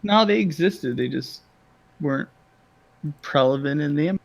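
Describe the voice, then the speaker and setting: A man's voice: fairly high pitch, expressive, normal speed, gravelly. A man chatting casually as a player in a tabletop role-playing game. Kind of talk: casual chat.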